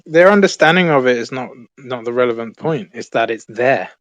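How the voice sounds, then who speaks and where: A man's voice: medium pitch, expressive, fairly quick, a bit bright, no room sound. Dismissive man on a call. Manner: dismissive, talks over others. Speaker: debater on a call. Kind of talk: online debate.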